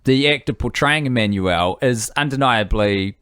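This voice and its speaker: A New man trades wry quips in a casual film-review chat. His pitch is middling and the recording is dry and close. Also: moderate pace, fairly bright tone, smooth, no echo. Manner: wry, jokey interjections. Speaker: podcast co-host.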